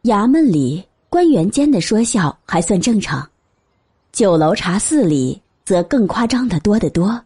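Female narrator for serialized fiction: husky, mellow, lively intonation. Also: medium pitch, a little slow. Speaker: audiobook narrator. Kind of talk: scripted storytelling narration.

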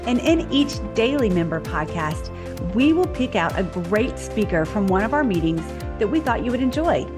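A woman's voice: mid-range voice, sing-song, normal speed. Upbeat woman host over music. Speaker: podcast host. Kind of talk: scripted podcast intro. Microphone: headset. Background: background music.

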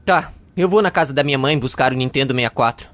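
A man playing an excitable, bickering young gamer in an exaggerated comedy fan dub. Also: mid-range voice, fast talker, close to an everyday voice. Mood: casual, grumbling.

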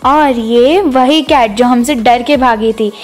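A woman's voice: a little high, slow pace. A woman giving a chatty, casual vlog voice-over, mixing in English words. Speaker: vlog narrator. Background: background music.

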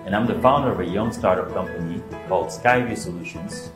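A man's voice: sits low, a little fast. A man in his thirties introducing himself steadily as a startup founder. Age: 30s. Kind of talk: scripted self-introduction. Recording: echoey room under loud music.

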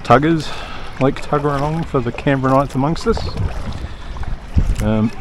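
A man's voice: mid-range voice, normal speed. An Australian man chatting casually while fishing from a kayak, rambling with lots of fillers, recorded on an action camera out on a lake. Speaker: fishing vlogger. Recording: outdoor camera audio with wind and water noise. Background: wind and water noise.

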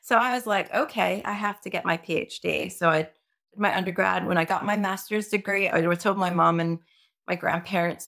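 A woman's voice: medium pitch, a bit bright. Woman guest, career coach, casual storytelling, laughs easily. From Puerto Rico and the US.

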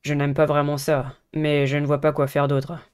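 Girl doing a serious teen boy from a dating game, low soft-edged voice, earnest n measured. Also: flat delivery, clean tone, close to an everyday voice. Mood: resigned. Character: calm, serious teenage boy, protective student delegate. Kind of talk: visual novel character reading.

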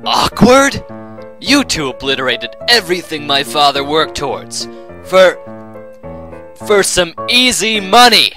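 Man playing a slow-spoken, bitter, aggrieved son. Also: fairly high pitch, big pitch swings, sharp tone, soft background music, exaggerated voice. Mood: indignant. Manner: sarcastic, aggrieved. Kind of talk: radio drama acting.

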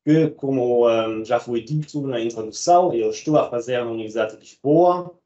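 A man's voice: medium pitch, moderate pace, mellow, muffled recording. A man from Germany speaking with a German accent, giving an academic presentation as a guest researcher, hesitating often with filler sounds. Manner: hesitant, many ehm fillers.

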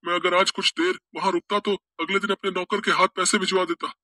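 Man doing a high, bright-voiced wealthy trader, kind and grateful, dry cartoon voiceover. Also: flat delivery, brisk, dry recording. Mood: earnest. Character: rich businessman, middle-aged, kind and grateful.

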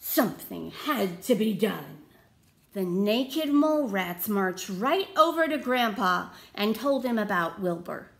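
A woman in her thirties reading a picture book aloud to children, with deliberate theatrical emphasis and questions to her listeners. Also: mid-range voice, lively intonation, vocal fry, fairly bright tone. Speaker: read-aloud storyteller. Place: small room at home.

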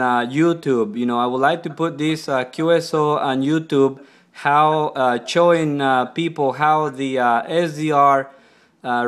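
Halting man on amateur radio. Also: mid-range voice. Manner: hesitant, repetitive phrasing, speaking up toward laptop mic. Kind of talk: casual ham radio exchange. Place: indoors in a kitchen. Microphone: laptop microphone nearby.

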